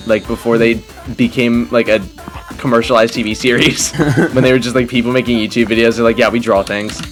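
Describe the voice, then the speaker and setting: A man with a mid-range voice hosts gameplay in a loose, amused, informal way. He chats casually while game music plays behind him. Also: medium pitch. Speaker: gameplay video host. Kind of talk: casual chat over gameplay. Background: video game music.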